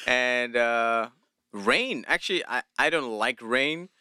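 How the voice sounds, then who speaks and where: South African man, Afrikaans first language, relaxed joking podcast guest, bright crisp dry voice. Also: mid-range voice, slow, no echo. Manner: laid-back, jokey storyteller. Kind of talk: casual chat.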